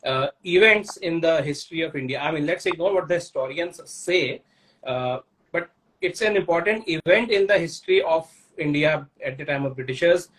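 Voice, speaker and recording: A man hosts a book discussion in a fairly high voice. His questions wander and build slowly, with fillers as he goes. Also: a bit bright, poor audio. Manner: long, rambling questions with fillers. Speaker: host. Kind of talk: interview about books.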